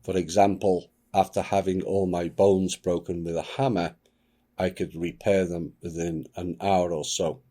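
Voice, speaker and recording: Man, dark mellow tone, slow, solemn, measured reader. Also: sits low, level tone. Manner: grave, measured delivery. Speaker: channel host. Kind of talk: solemn reading of a submitted account.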